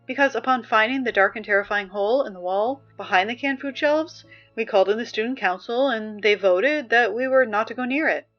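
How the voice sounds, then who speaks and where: A woman acting as an exasperated, wry high school student reading morning announcements in an apocalypse audio drama, halting and conversational. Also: muffled recording, lightly acted. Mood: wry, chatty. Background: music.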